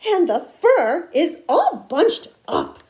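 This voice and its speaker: Woman doing a huffy, high-voiced mama bear. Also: very animated, a little mellow, full character voice. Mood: huffy annoyance. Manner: huffy, emphatic, drawn-out complaining.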